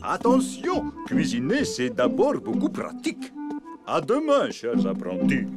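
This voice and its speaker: A flamboyant cartoon chef from Moscow holds court on television. His voice leaps high and wide as he clips his French, dropping little words, and every instruction lands like a grand announcement over the music. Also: wildly swooping pitch, a little slow. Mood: grandiose. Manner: broken French with dropped articles, booming exclamations. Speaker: TV cooking teacher.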